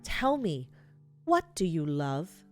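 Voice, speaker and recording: A woman voicing a mysterious old hooded man, a slow, solemn tempter from a fantasy game story. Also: deep voice, very animated, faint music behind, heavily acted. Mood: probing.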